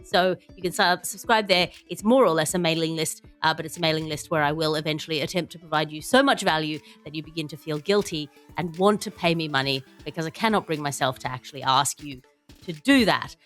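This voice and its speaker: A woman's voice: sits low, fairly quick, fairly bright tone, soft background music. A woman hosting a comedy podcast in a wry, wordy, playful way. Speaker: podcast host.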